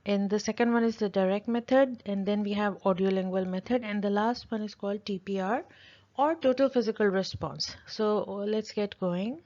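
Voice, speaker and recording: A woman's voice: medium pitch, moderate pace, a little mellow. A woman who speaks Urdu natively gives an explanatory tutorial as a teacher, repeating her points with simple examples. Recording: dull, lo-fi audio.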